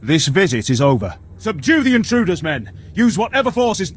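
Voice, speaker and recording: An actor playing a haughty, indignant Imperial military officer in a video game, with a pompous, commanding manner. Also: male voice, sits high, very animated, brisk. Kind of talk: dramatic video game voice acting.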